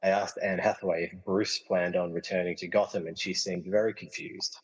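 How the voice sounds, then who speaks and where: A man playing an easygoing, casually explaining film lover trapped in a movie, in a comedy radio play, with a husky voice and long reverb. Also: deep voice, level tone, a little fast. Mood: amused, casual. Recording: long reverberant hall echo.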